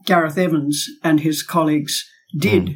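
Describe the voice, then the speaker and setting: Husky-voiced woman making a pointed case. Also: low-pitched, steady tone, moderate pace. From Australia. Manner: emphatic, pointed, with rhetorical questions. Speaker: guest, former diplomat and academic. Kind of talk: podcast interview, arguing a case.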